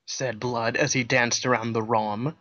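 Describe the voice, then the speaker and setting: Slightly dull home recording, man, middle pitch, deadpan story narration, casual asides. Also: measured pace. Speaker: co-reader, narrator. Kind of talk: comic fan-fiction reading with casual banter.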